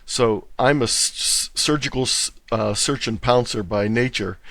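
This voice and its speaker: A man's voice: medium pitch, measured pace, a bit bright, dry recording. A man talking conversationally as an interview guest, explaining his hobby. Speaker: interview guest, club presenter and radio contester.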